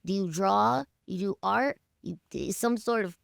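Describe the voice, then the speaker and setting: Gravelly, creaky New woman. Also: mid-range voice, measured pace, no echo, studio quality. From Harlem, New York, USA. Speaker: musician guest on a radio show. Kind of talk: casual interview chat.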